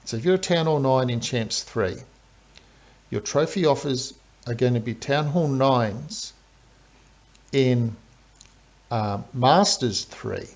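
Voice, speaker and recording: A man's voice: medium pitch, expressive, takes it slowly, pauses often. A man with an Australian accent, a game streamer chatting through live commentary and explaining strategy step by step. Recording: dull lo-fi stream audio.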